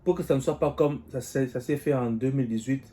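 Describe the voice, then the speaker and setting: Mellow-voiced man weighing politics aloud. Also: mid-range voice. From DR Congo. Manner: measured, thinking aloud with rhetorical questions. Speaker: political commentator. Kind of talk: solo political commentary. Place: living in the United States.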